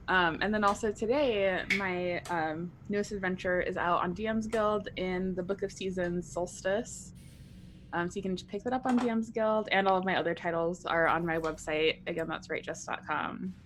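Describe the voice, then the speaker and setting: A woman's voice: lowish voice, normal speed, a bit bright, a little hiss or hum. A woman chatting casually as a guest player, plugging their writing and shows. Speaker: guest player and game writer. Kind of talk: casual chat.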